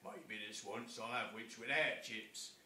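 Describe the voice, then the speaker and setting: Menacing storybook dragon, done by a man, slow gloating delivery, echoey room. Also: medium pitch, bright, forceful tone, recorded from a distance, slight background noise. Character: boastful menacing male dragon.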